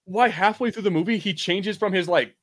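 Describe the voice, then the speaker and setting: A man's voice: high voice, fairly quick. A man co-hosting a podcast, riffing casually in slangy, sweary watch-party chat. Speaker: podcast co-host.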